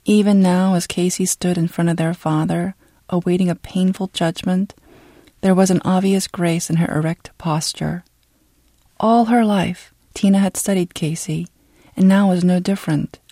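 An audiobook narrator reads a scripted literary story. The delivery is measured and dramatic, at a medium pitch. The recording is close and dry. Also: steady tone, warm tone, no echo.

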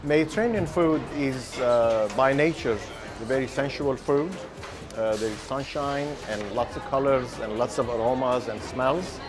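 A man, a restaurant owner speaking reflectively in an interview clip, pausing with fillers. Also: mid-range voice. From Lebanon. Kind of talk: interview soundbite. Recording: music bed under voice.

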